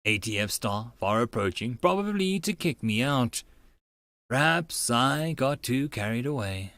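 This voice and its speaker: A man's voice: mid-range voice, lively intonation, a little slow, fairly bright tone, no room sound. A man reading a story as an audiobook narrator, in a theatrical first-person style. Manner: theatrical, acts out dialogue and asides. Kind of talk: dramatized first-person narration of a visual novel.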